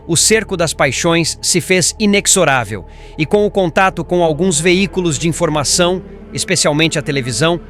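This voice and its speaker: A man's voice: on the high side, fairly bright tone, close to an everyday voice. A man reading the part of a solemn, compassionate spirit mentor in an audiobook, with formal, elevated diction. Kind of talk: scripted audiobook character reading.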